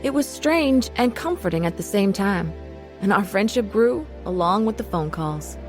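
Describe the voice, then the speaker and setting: A woman's voice: medium pitch, animated, moderate pace, soft background music, close to an everyday voice. An actress playing a troubled middle-aged mother who uses drugs, hesitant and confiding, in a radio drama. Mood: reflective narration. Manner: hesitant, confessional. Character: troubled drug-using mother, lonely, wavering, confiding. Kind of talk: radio drama dialogue and first-person narration.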